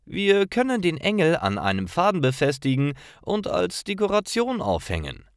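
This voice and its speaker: A man puts on a friendly young woman in a simple audio story. The voice is high and light, with animated pitch. It comes across upbeat and encouraging. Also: normal speed, clean tone, no echo, clean studio sound, heavily acted.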